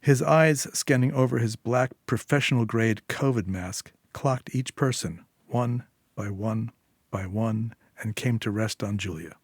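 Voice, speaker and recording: A man's voice: medium pitch, unhurried, no room sound, clean studio sound. A man reading a short story aloud as scripted narration. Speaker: story reader.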